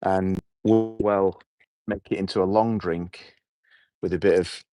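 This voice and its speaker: A middle-aged man with a hoarse voice, hosting a relaxed, rambling evening livestream outdoors and chatting with his viewers. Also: low-pitched, unhurried, poor audio. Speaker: livestream host. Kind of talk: casual evening livestream chat. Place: outdoors at night.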